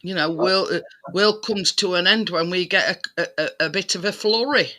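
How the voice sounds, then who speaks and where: A man with a very bright, sharp voice pitched on the high side. He chats casually and rambles, breaking off and circling back as he hunts for the right word. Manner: rambling, halting, searching for words. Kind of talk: casual chat.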